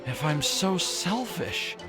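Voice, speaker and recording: Highish bright voice, nervous earnest young man, lively pitch, polite, hesitant, anime game. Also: takes it slowly, over music, exaggerated voice. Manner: hesitant, formal phrasing.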